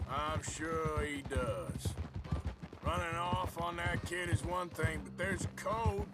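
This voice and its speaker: An actor playing a gruff, laconic American outlaw cowboy with a Western drawl in a video game. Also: male voice, fairly high pitch, slow, very noisy. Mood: resentful. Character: gruff, grudge-holding male outlaw cowboy. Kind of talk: cinematic western game acting.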